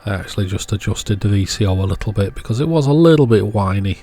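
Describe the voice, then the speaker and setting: A man's voice: lowish voice, expressive, fast, warm tone. A man giving casual, hands-on repair narration as a video host, in a matter-of-fact, understated way.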